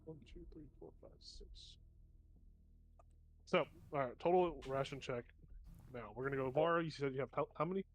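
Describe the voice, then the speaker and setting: A man's voice: mid-range voice, moderate pace, long pauses. A young man running a tabletop game as game master, sniffling from a cold and explaining rules step by step with plenty of fillers.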